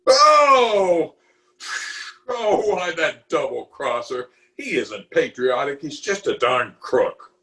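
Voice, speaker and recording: A man's voice: fairly high pitch, big pitch swings, pushed and bright, a little hiss or hum, exaggerated voice. A man playing a blustery, genial middle-aged small-town uncle in a pompous, theatrical 1940s radio comedy style. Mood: indignant. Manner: pompous, theatrical, with drawn-out hesitations and chuckles. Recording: music behind the voice, remote home recording.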